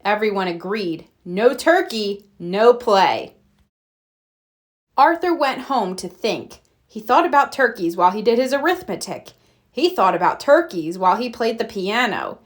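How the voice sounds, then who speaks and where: A woman narrating a children's storybook aloud in an expressive read-aloud style. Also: mid-range voice, unhurried. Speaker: storybook reader.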